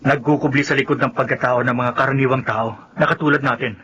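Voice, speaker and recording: Male actor as dutiful son, earnest, knowing, medium pitch, crisp bright tone, radio horror drama. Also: fairly even intonation, muffled recording, natural voice. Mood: grave, earnest. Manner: earnest, deliberate explaining.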